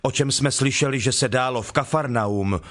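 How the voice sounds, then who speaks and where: An actor playing a calm, authoritative holy teacher in a dramatized Bible reading, speaking in a measured, solemn, declamatory way. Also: male voice, medium pitch, close to an everyday voice. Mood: grave, earnest. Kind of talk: dramatized Bible audio acting.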